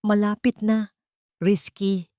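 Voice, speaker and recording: A woman voicing a steely, fearless wronged mother bent on revenge, measured and menacing. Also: mid-range voice, flat delivery, warm tone, clean tone, no room sound, studio quality, lightly acted. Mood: quiet menace.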